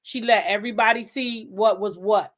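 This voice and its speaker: A woman's voice: mid-range voice, fairly even intonation, normal speed. A woman hosting a casual live reaction stream, talking in a blunt, profane and emphatic way. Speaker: livestream host.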